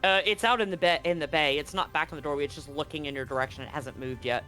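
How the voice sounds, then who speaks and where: A man's voice: a little high, quick pace, pushed and bright. A man acting as game master, improvising narration and ruling on dice rolls in a tabletop roleplaying game. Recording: music behind the voice. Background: music.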